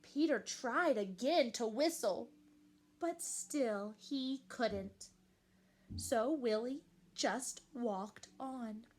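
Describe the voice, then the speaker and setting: A woman's voice: on the high side, a little hiss or hum. A woman reading a picture book aloud to young children, expressively and with questions to the listeners. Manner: animated, slow and deliberate, addressing young children.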